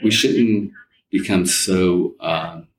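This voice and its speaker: A man's voice: deep voice, very slow. A man speaking as an interview guest in a casual, reflective way, often rephrasing as he searches for his wording. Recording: distant mic with faint background noise.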